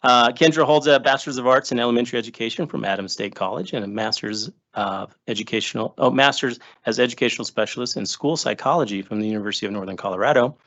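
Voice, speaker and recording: A man introducing new staff at a school board meeting, chatty and relaxed, with joking asides. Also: medium pitch, fast talker, hardly any pauses, highs cut off. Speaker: school district administrator introducing new hires. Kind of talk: informal remarks at a public board meeting. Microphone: table microphone.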